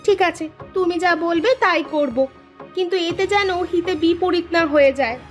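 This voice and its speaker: A woman playing a sweet, obedient, anxious village wife in a cartoon, speaking in a gentle, expressive way. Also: very high voice, normal speed. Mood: doubtful, worried. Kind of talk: Bengali cartoon dialogue. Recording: music bed behind the voice.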